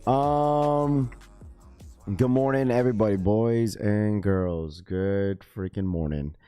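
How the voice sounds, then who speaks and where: A man hosting a morning livestream, hoarse and losing his voice, greeting viewers in laid-back slang. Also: on the low side, slow pace, warm tone, faint music behind. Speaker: livestream host. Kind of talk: casual livestream chat. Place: Las Vegas, indoors.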